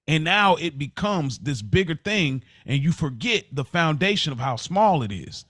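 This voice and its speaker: A man's voice: fairly high pitch, sing-song, moderate pace, fairly bright tone, no room sound, studio quality. An American man co-hosting a podcast, talking casually and reflectively, often repeating and rephrasing as he explains. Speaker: podcast co-host.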